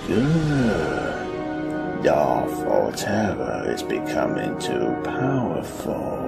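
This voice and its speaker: Sinister old space-opera emperor, low croak, scheming, theatrical, loud music behind. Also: male voice, full character voice. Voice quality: croaky, gravelly. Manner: slow, menacing, theatrical.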